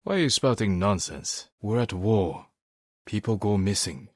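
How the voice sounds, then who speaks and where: A man voicing a stoic, honorable samurai warrior in a video game, speaking in a measured, solemn and restrained way. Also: on the low side, animated, dry recording, studio quality. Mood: solemn, resolute. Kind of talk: dramatic video game voice acting.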